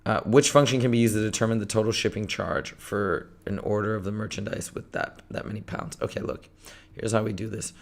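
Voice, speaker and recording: Hoarse man tutoring math live. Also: lowish voice, a little fast. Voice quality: gravelly, strained. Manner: energetic, talks through problems step by step. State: hoarse, losing his voice.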